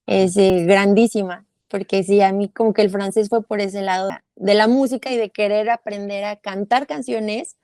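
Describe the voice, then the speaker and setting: A woman's voice: mid-range voice, fairly even intonation, moderate pace, few pauses, darkish tone, no echo, studio quality. A young woman with a Mexican accent, a guest talking through her answers in a casual interview, with many fillers and restarts. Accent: Mexican Spanish.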